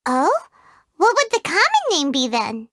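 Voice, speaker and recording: Little fairy sidekick, super high and all over the place, whiny and excitable, game voice. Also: female voice, a little slow, no room sound, clean studio sound, exaggerated voice. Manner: refers to herself in third person, excitable and whiny. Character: tiny floating female fairy companion, chirpy and greedy. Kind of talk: game voice acting.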